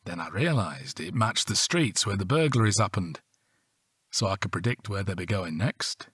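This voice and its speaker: An actor with a British accent playing a dry, teasing police detective and long-married husband in an audio drama. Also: male voice, low-pitched, lively intonation, moderate pace, a bit bright, husky, dry recording, full character voice. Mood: matter-of-fact. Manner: wry, dry-humoured. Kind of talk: naturalistic audio drama acting.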